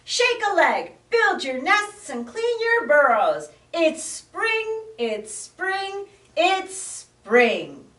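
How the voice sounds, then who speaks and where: A woman voicing a tiny, loud, cheerful male frog who shouts in a sing-song way, in a storybook reading. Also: very high-pitched, monotone, drawn-out words, long pauses, pitched above the performer's own voice, full character voice. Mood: exuberant. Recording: roomy, distant mic.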